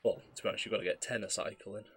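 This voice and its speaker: A man, slightly hoarse with a cough, calmly explaining his speedrun as he plays. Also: lowish voice, very fast. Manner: relaxed, explanatory, self-deprecating. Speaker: speedrunner commentating his own run. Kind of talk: live gameplay commentary. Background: faint game music.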